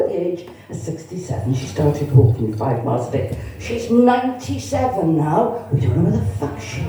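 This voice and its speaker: A woman's voice: low voice, dark tone. A woman in her sixties from Cardiff with a Cardiff Welsh accent, performing a bawdy, chatty stand-up set and teasing the crowd. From Cardiff, Wales. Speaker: stand-up comedian. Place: comedy club stage. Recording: echoey venue, mic heard from a distance.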